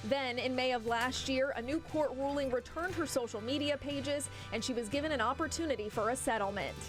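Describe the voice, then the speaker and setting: A woman's voice: high voice, fairly quick, music in the background. A woman with an American accent reading scripted narration as a television news feature reporter.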